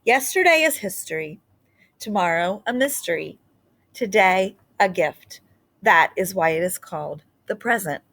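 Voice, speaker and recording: Storybook art teacher, played by a woman reader: female, gentle, sincere, full of encouragement for a pupil. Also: mid-range voice, expressive, unhurried, no room sound. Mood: tender, solemn. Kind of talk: storybook read-aloud dialogue.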